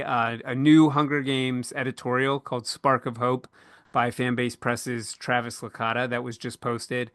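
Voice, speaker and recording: A man with a very bright, forward voice moderates a casual podcast panel. He is recorded close and dry, and he feels his way through sentences with frequent pauses mid-thought. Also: medium pitch, clear, resonant tone, no room sound. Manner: halting, pausing often mid-thought.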